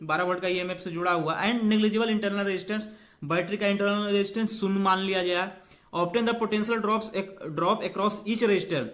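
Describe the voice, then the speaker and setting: A man's voice: high-pitched, a bit bright. A male teacher. He reads out exam questions and explains them step by step in an informal way. He speaks to students like friends, repeats key phrases and slips in English technical words. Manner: mixes English technical terms into Hindi. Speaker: physics teacher.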